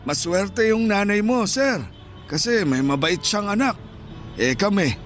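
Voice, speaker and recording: Guy doing a shy plaintive elderly filipino vendor, high voice, radio drama, faint music. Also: animated, a little slow. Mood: aggrieved. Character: mild, shy 65-year-old Filipino vendor husband.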